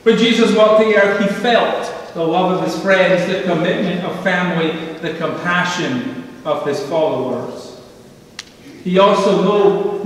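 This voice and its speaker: A middle-aged man preaches at a slow, deliberate pace, leaning into repeated phrases. His voice sits a little high for a man and rings through a big, reverberant sanctuary. He is picked up from a distance at the pulpit, with faint room ambience behind. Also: a bit bright. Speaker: pastor. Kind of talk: sermon. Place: church sanctuary, standing at a pulpit. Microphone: pulpit microphone heard from a distant camera. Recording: echoey church hall from far off.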